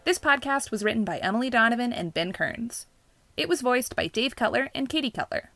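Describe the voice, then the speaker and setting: A woman reads scripted podcast credits as the announcer. Her voice is fairly high and bright with plenty of vocal fry. The recording is dry and close, with music underneath. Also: expressive, brisk, dry recording.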